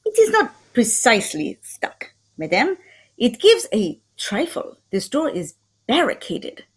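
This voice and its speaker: A woman's voice: on the high side, huge pitch swings, a little slow, husky, heavily acted. A woman acting a polite, fussy Belgian male detective with a French accent and formal, theatrical delivery, in radio mystery style. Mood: grave, courteous.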